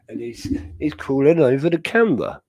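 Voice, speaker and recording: A man's voice: mid-range voice, a little mellow. A young British man hosting a livestream, chatting in a loose, rambling way with frequent laughs. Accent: British English. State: chest infection. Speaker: host. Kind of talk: casual livestream chat.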